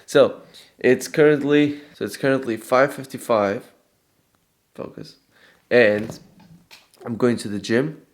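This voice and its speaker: A young man vlogging his day and casually talking through trading charts, relaxed and self-deprecating, with frequent fillers. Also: medium pitch, slow, long pauses. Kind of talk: casual vlog and market commentary.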